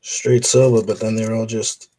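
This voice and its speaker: Low-voiced man rambling casually. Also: normal speed, rough recording. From Boston area. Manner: rambling, relaxed, many fillers and restarts. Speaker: coin roll hunter streaming. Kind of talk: casual live-stream chat while sorting coins.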